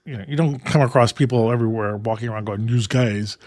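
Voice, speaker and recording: A man from New York co-hosting a photography podcast, chatting in a relaxed, rambling way with many restarts and laughs. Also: mid-range voice, fast talker, dry recording, clean studio sound. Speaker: podcast co-host. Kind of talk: casual chat.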